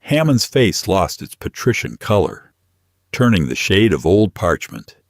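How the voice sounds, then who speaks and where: A man's voice: low voice, very animated, a little slow, creaky, dry recording, clean studio sound. A man narrating a scripted story in a dramatic audiobook style.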